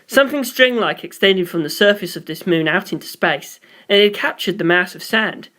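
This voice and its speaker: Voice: medium pitch, brisk, sharp tone. A reader delivering scripted first-person story narration, as for an audiobook.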